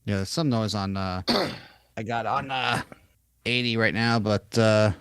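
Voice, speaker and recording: A man from New York, a ham radio operator, chatting casually on the air in a loud, talkative voice. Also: fairly low pitch, unhurried, clear, resonant tone, no room sound. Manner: loud voice, chatty, laughs often.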